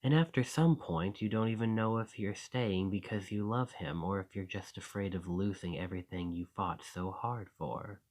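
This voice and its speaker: A man voicing a possessive, sinister shapeshifter monster in an intimate ASMR roleplay, speaking in a coaxing, deliberate, softly menacing way. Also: low-pitched. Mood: insinuating, coaxing.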